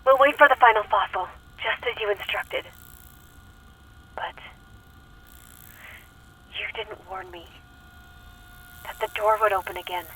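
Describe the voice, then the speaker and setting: Haunted cult follower, slow halting delivery, bright tense voice, dread, horror audio drama, distant noisy videotape playback. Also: female voice, medium pitch. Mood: fearful, resolute. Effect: played back from a videotape.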